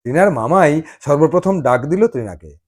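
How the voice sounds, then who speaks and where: A man reading a dramatized audio love story as storyteller, expressive and given to dramatic pauses. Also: on the high side.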